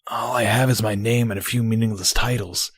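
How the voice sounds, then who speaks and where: A man voicing a weary, injured young male pony in an audio story, soft and downcast. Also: on the low side, steady tone, brisk, husky, no room sound, studio quality, pitched above the performer's own voice. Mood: weary, sad.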